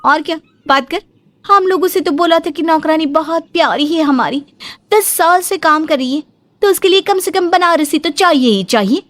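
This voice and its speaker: A woman's voice: very high voice, normal speed, a bit bright. A woman voicing a blunt, bossy young daughter-in-law in a comic family story. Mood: accusing. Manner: sassy, blunt.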